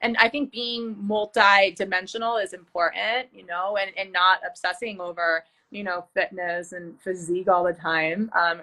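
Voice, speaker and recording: Bright-voiced woman in her thirties. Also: lowish voice, vocal fry, low-quality recording. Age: 30s. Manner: chatty, enthusiastic, many fillers. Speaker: fitness and nutrition coach, co-host. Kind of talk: casual podcast conversation.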